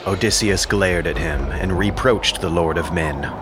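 A man's voice: deep voice, measured pace, music in the background. A man delivering scripted dramatic narration of an ancient epic as a storyteller.

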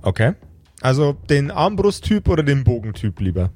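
A man improvising tabletop game narration as game master, with halting, deliberate phrasing. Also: mid-range voice, very animated, a little mellow, soft background music.

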